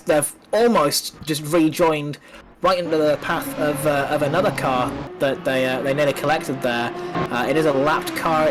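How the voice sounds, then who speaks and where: A man's voice: fairly high pitch, noisy background. A man doing live play-by-play commentary on a sim race, slightly nasal from a coming cold, with many fillers and restarts.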